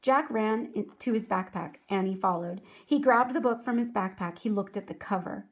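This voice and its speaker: Woman, children's read-aloud, deliberate delivery, teacher addressing young listeners, medium pitch.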